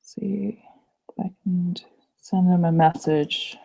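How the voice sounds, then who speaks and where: A young woman in a student group video call, hoarse from illness, chatty and apologetic with lots of ums. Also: on the low side, fairly even intonation, takes it slowly, dark tone. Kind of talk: casual video call meeting. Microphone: computer mic on a video call. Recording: dull lo-fi call audio.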